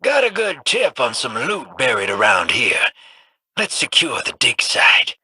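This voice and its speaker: Brash pirate captain; raspy, slow drawl, dry. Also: male voice, mid-range voice, huge pitch swings, bright, forceful tone, no room sound, exaggerated voice. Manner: brash, gruff. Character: swaggering male pirate captain, rowdy.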